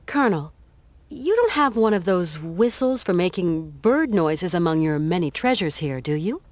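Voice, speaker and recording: An actress playing an inquisitive, determined traveller in a video game, polite but direct, probing and dryly sardonic. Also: female voice, on the low side, expressive, moderate pace, a little mellow, no echo, natural voice. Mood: friendly.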